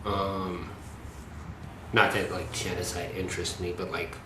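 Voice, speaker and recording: A man guesting on a podcast, talking casually and pausing to search for words. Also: low voice, level tone. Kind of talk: casual chat. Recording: echoey room with background noise.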